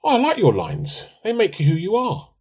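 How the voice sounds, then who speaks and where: A man's voice: high-pitched, wildly swooping pitch, moderate pace, a little mellow, husky, heavily acted. A man playing a put-upon, dryly sarcastic middle-aged husband in a radio play. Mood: placating.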